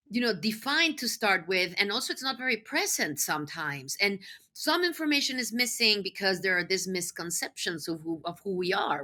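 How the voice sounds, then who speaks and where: A woman's voice: medium pitch, sharp tone. A middle-aged woman from Mexico City, a native Spanish speaker, answering interview questions conversationally with enthusiasm and emphasis. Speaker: podcast guest, nonprofit executive.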